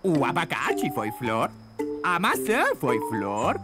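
An actor playing an excitable, playful young raccoon in a children's cartoon, eager and theatrical, with a Brazilian accent. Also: medium pitch, slow. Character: excitable, playful, pestering young raccoon who craves games. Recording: music behind the voice.

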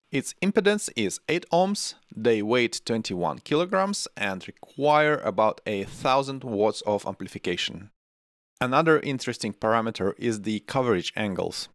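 A man's voice: mid-range voice, no room sound. A middle-aged man hosting a tech channel, reading scripted narration into a close desk microphone. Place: small home studio room. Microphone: close desk microphone on arm.

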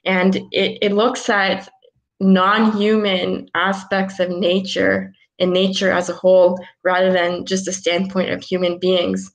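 A woman in her twenties, a guest on a video call from a small room at home, explaining ideas unhurriedly with frequent ums and soft restarts. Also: fairly low pitch, little pitch movement, measured pace, rough recording. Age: 20s. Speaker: guest, philosopher. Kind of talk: casual video-call interview.

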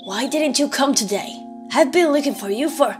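A woman's voice: sits high, animated, normal speed, pitched above the performer's own voice. A woman voicing a brash, hot-tempered teenage monster girl in a dramatic comic dub, blunt and confrontational but caring underneath. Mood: angry. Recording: background music under the voice. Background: music.

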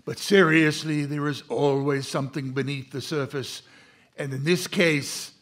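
An actor playing a macabre, punning horror host with a theatrical, teasing manner in a live radio show. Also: male voice, fairly high pitch, fairly even intonation, unhurried, fairly bright tone. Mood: sly, inviting. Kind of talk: old-time radio horror hosting.

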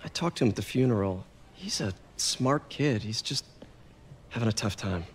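A man's voice: on the low side, expressive, moderate pace, slight background noise, close to an everyday voice. A young man with an American accent playing an earnest, quippy superhero in a video game cutscene, talking casually. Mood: gentle, earnest. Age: young adult.

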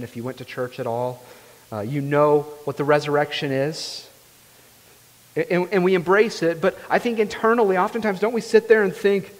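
A young man preaching a sermon as pastor, earnest and emphatic, often asking his listeners "right?". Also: on the high side. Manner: earnest, rising emphatically on key phrases, often asks "right?". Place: church sanctuary, large room. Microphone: far camera, speaking at a pulpit. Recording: long reverb of a church hall.

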